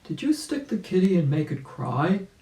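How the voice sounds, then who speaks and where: A woman reading the part of a mild, determined old rabbit gentleman in a children's storybook, slowly and expressively. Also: on the low side, big pitch swings, voice pushed up. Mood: indignant, surprised. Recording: roomy, echoing sound.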